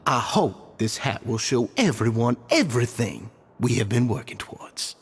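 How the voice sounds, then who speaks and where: A man's voice: medium pitch, big pitch swings, normal speed, breathy edge. An actor playing an earnest, deferential male club leader in a video game, courteous and eager in manner. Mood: earnest, idealistic.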